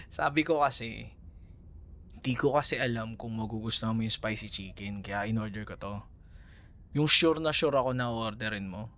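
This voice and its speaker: A man's voice: on the low side, unhurried, pauses often, fairly bright tone. A man with a Filipino accent acting a friendly, teasing ex-boyfriend in an intimate, casual audio roleplay, sometimes hesitant in his phrasing. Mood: playful, wistful. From Philippines.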